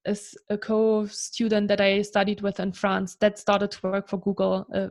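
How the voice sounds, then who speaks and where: A German woman in her thirties talks through her career as an interview guest. Her English flows in a relaxed, chatty way, padded with "kind of" and "you know". Her voice sits at an ordinary middle pitch. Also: moderate pace. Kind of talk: casual interview conversation.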